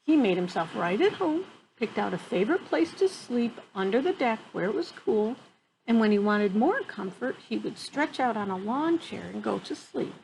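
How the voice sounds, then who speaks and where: A woman in her fifties reads a picture book aloud in an expressive way, pausing for casual asides about the pictures. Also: a little high, rough recording. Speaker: storyteller reading a picture book to children. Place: outdoor wooden deck among trees. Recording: clear background noise, outdoor ambience.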